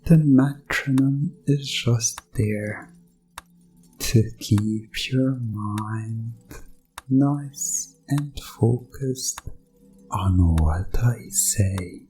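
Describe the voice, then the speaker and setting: A man playing a sinister, condescending evil doctor in a hypnosis ASMR roleplay, coaxing with mock reassurance and deliberate pauses. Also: medium pitch, dark tone, soft background music, rough recording.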